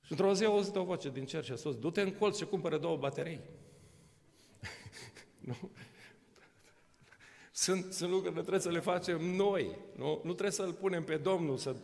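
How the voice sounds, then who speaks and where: A man's voice: high voice, vocal fry, long pauses, a little mellow. A man in his sixties preaching a sermon from a church podium, talking conversationally with frequent rhetorical questions and touches of humor. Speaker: pastor. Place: large church hall. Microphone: podium microphone. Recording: long church reverb.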